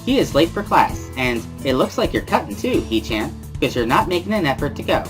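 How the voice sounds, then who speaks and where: Man putting on a high, bubbly teenage schoolgirl. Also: very animated, fairly quick, over music. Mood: playful scolding. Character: bubbly, pushy teenage schoolgirl, teasing and cheerful. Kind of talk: amateur dramatic reading of a visual novel.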